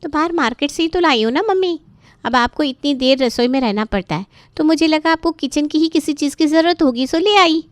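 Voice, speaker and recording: A woman's voice: sits high, brisk, dry recording, voice pushed up, natural voice. A woman voicing a chirpy, cheeky grown-up daughter in a story, with a breezy, persuasive manner. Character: chirpy, cheeky adult daughter and sister-in-law.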